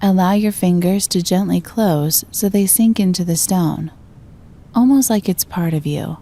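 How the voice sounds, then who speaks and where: A woman gently guiding a meditation, giving slow, soothing instructions. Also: on the low side. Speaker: meditation guide. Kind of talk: guided meditation.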